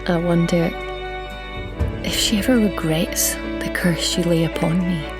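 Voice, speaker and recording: A woman playing a bitter, defiant immortal goddess held captive, in measured, brooding audio drama acting. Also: on the low side, steady tone, loud music behind the voice, natural voice.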